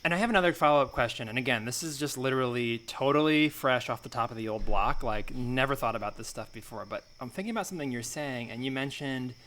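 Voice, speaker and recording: A man improvising a naive, falsely modest amateur thinker in a comedy podcast, musing in a halting, hedging way. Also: mid-range voice, lively intonation, a bit bright, natural voice. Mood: tentative, musing.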